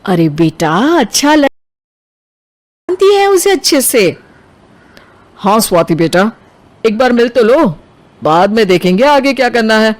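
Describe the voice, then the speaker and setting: A woman's voice: a little high, sing-song, a little slow, long pauses. Coaxing middle-aged mother acted by a woman. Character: coaxing middle-aged Indian mother, eager to see daughter married. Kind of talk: Hindi cartoon dubbing.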